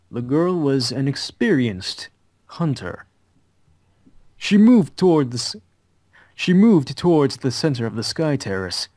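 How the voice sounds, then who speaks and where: A man's voice: medium pitch, a little mellow, no room sound. A man narrates a visual novel, reading aloud in a mid-range voice. The sound is dry, with no room on it.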